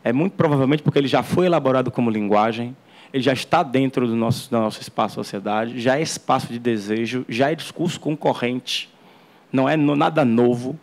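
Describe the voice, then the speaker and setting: A man's voice: mid-range voice, vocal fry. A man giving an academic lecture in an auditorium, earnest, often restarting and repeating words. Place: university auditorium.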